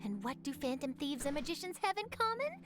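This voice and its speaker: A woman's voice: high-pitched, sing-song, brisk. A woman playing an eager young female newspaper journalist in a video game, telling things theatrically, with music under her voice. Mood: excited. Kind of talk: animated game voice acting.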